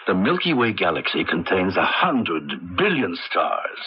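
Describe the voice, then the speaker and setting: A man's voice: sits low, wildly swooping pitch, a little slow, faint music behind, exaggerated voice. An actor playing a big, smug, bullying male inventor with a condescending manner in a 1980s radio drama. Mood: scornful, menacing. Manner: pompous, condescending. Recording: muffled, noisy old radio broadcast recording.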